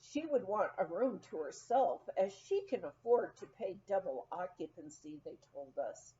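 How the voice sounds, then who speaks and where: A woman reading a humorous memoir aloud as scripted narration, in an expressive, storytelling manner. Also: lowish voice, highs cut off. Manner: expressive, unhurried storytelling with acted quotes. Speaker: audiobook reader.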